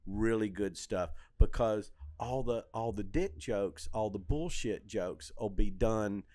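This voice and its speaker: A middle-aged man chatting casually as a podcast host, telling stories in an animated, opinionated way and swearing freely. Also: fairly low pitch, takes it slowly, dry recording, clean studio sound. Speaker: comedy club owner and comedian, podcast host. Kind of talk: casual shop-talk chat.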